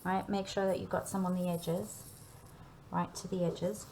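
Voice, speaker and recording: A woman's voice: lowish voice, flat delivery, normal speed, mellow, a little hiss or hum. A woman giving a hands-on baking demonstration, talking learners through each step in a chatty, instructional way and often checking in. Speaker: cooking and baking teacher.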